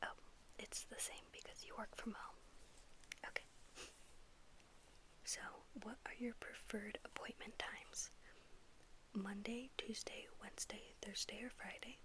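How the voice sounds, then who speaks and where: A woman whispering softly in an ASMR roleplay as a dentist, gently and politely asking questions. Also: mid-range voice, steady tone, measured pace, pauses often. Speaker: ASMR performer playing a dentist.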